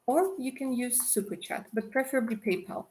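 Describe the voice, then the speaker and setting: A woman's voice: fairly high pitch, lively intonation, a little fast, a little mellow. Chatty tarot reader woman, live stream. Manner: chatty, affectionate, calls viewers darling.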